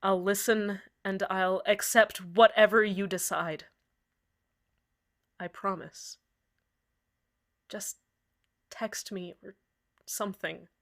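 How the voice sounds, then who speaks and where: A woman voicing a heartbroken community radio host who speaks in an earnest, halting way with many pauses. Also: mid-range voice, steady tone, fairly bright tone. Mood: remorseful. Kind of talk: fan radio drama monologue.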